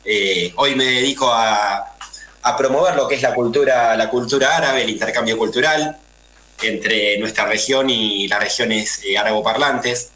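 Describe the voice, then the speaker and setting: A man's voice: mid-range voice, measured pace, pushed and bright, reverberant, rough recording. A man in his thirties from Argentina with a Buenos Aires accent, answering radio interview questions earnestly and with many fillers. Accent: Buenos Aires Spanish. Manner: earnest, explanatory, lots of fillers. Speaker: guest.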